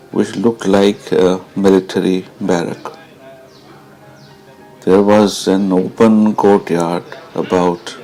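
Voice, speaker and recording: A man reading a memoir as dramatised narration, measured and deliberate, pausing between phrases. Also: fairly low pitch, dark tone, low-quality recording.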